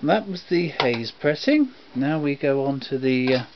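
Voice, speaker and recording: A man's voice: mid-range voice, animated, a little slow. A man informally demonstrating records in a matter-of-fact, explanatory way. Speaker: record collector presenting a comparison. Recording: dull, lo-fi home recording, noisy with clicks.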